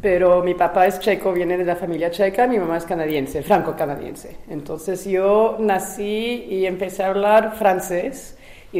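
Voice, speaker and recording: A woman, a playwright giving an interview, talking in a casual recollecting way with pauses, fillers and uneven pace. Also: lowish voice, dark tone, echoey room. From Venezuela. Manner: hesitant, restarts and fillers, speeds up and slows down.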